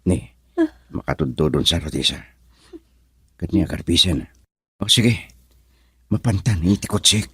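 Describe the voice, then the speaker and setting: An actor in a radio drama playing an earnest young suitor who reasons and admonishes reproachfully. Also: male voice, low-pitched, animated, measured pace, long pauses, sharp tone, breathy edge, dry recording, studio quality, exaggerated voice. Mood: concerned.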